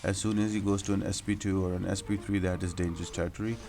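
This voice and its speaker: A man's voice: sits low, flat delivery, quick pace, warm tone. A man giving instructional, explanatory commentary as the host of a video game guide.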